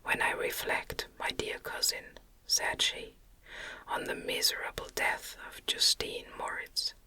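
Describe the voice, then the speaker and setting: A woman narrator reading a gothic novel in a gentle, deliberate ASMR whisper. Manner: gentle, deliberate, measured phrasing. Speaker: audiobook narrator.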